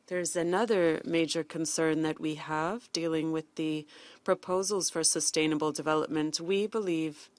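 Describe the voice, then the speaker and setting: A woman giving live interpretation of a formal speech, in halting phrases with false starts. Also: deep voice, no room sound. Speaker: simultaneous interpreter.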